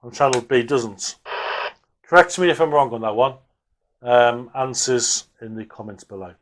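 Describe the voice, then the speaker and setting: Male hobby presenter, mid-pitched. Casual, off-the-cuff workbench commentary, hesitant, thinking out loud. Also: moderate pace.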